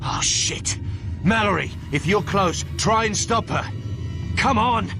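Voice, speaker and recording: An actor playing a tough, hot-headed soldier hero in a video game, gruff and urgent, with loud music behind him. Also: male voice, sits high, lively intonation, slow pace, full character voice. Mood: urgent, pleading. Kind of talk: dramatic video game acting.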